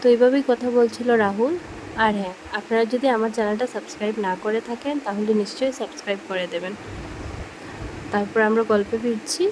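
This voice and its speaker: A woman's voice: fairly high pitch, fairly even intonation, fairly quick, background noise. A woman telling a scripted horror cartoon story for children as its storyteller. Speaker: storyteller and channel host.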